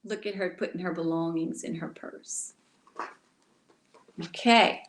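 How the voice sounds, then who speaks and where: A woman from Louisiana reading a bedtime story aloud to children in an expressive way, speaking directly to her young listeners. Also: fairly low pitch, a little slow, echoey room.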